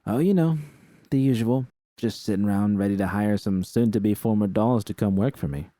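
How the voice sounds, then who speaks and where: A man's voice: lowish voice, normal speed, dark tone, no room sound, close to an everyday voice. A man voicing a wry young woman with a dry, teasing, casual manner in an audio story. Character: Judy, wry, foul-mouthed young woman, studio owner. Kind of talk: character dialogue in audio fiction.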